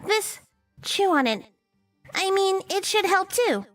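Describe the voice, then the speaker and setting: Young witch for a visual novel game: very high voice, earnest and matter-of-fact, light music underneath. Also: very animated, slow, fairly bright tone, distant mic, exaggerated voice. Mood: brisk.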